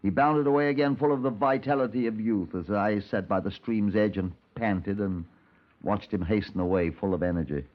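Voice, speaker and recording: An actor playing an exasperated, sardonic detective in a 1950s radio drama. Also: male voice, lowish voice, normal speed, close to an everyday voice. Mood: weary narration. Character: exasperated, dry Scotland Yard detective, male narrator. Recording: dull 1950s radio recording.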